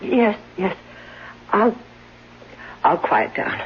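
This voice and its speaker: An actress playing a frightened, sickly bedridden wife in a radio drama, halting and breathless with agitation. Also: female voice, medium pitch, sing-song, noisy background. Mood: agitated. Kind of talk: old-time radio drama acting. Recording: dull old radio recording, loud music.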